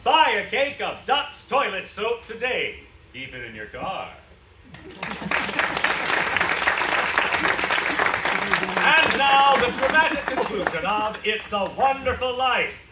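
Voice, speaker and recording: A man's voice: very high-pitched, sing-song, measured pace, few pauses, a loud crowd, heavy background noise, exaggerated voice. An actor playing a polished 1940s radio announcer, declaiming like a salesman in a live radio play. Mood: announcing. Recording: echoey hall from far off.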